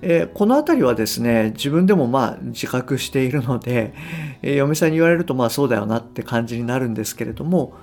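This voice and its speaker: A middle-aged man talking unscripted as a podcast host and coach, easygoing and unhurried, explaining things to the listener with gentle emphasis and hesitant phrasing. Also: medium pitch, lively intonation. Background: guitar music.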